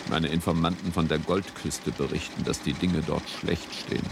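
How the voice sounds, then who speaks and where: An actor playing a cocky, persuasive commodities broker in a patronising, grandiose manner, in a 1970s German radio play. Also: male voice, rumbling low, steady tone, normal speed, creaky, heavy background noise. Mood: sardonic, conspiratorial. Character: slick, cocky male commodities broker talking up quick riches.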